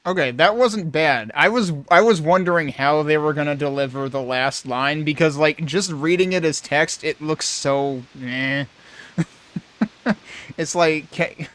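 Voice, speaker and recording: Guy doing casual game commentary, smooth clear voice, dry close mic, kinda rambling. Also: on the high side, unhurried, fairly bright tone, dry recording. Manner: rambling, thinking aloud. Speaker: game streamer.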